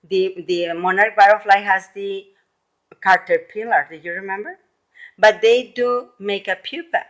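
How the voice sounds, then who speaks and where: Female primary teacher, medium pitch, slow delivery. Children's read-aloud lesson, expressive and repetitive, addressing young listeners directly. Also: low-quality recording. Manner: animated storytelling with exclamations and frequent questions to listeners; simple explanations with frequent repetition.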